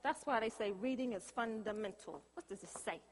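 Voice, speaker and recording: A woman's voice: mid-range voice, a little fast, close to an everyday voice. An actress playing a sassy, assertive, devout businesswoman in a comic stage play. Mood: scolding. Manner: sassy, firm, teasing. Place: large indoor theatre stage. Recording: echoey theatre stage, long reverb.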